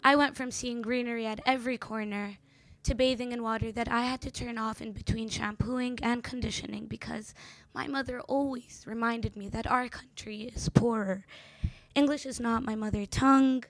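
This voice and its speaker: A woman's voice: fairly high pitch, steady tone, moderate pace, no room sound. A woman in her twenties from Jordan, a native Arabic speaker with an American accent, performing spoken word poetry with rhythmic emphasis. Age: 20s. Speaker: poet reader.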